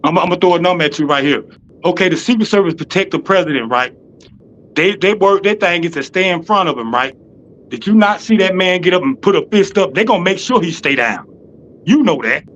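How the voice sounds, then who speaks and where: A man's voice: high voice, expressive, fairly quick, highs cut off, a little hiss or hum. Insistent Southern man talking over everyone. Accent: Southern American. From American South. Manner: loud, insistent, talks over others, swears. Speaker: panel guest. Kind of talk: heated panel argument.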